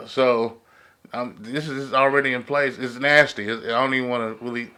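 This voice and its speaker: A man's voice: medium pitch, steady tone, moderate pace, pushed and bright, clean tone. An American man talking as a panelist in a casual podcast discussion, informal and slangy, often repeating his phrases.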